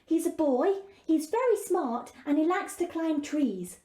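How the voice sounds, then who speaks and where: A woman voicing a young girl who speaks high and pleading. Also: lively intonation, unhurried, warm tone, reverberant. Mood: flustered. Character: young girl, kind and earnest.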